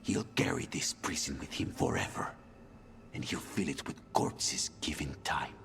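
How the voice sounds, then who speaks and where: Gravelly, low, brooding assassin. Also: male voice, sing-song, a little slow, fairly bright tone, heavily acted. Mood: brooding, doubting. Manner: dramatic, insinuating rhetorical questions. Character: bitter, brooding male assassin of a crime house, taunting and fatalistic. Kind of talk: video game voice acting.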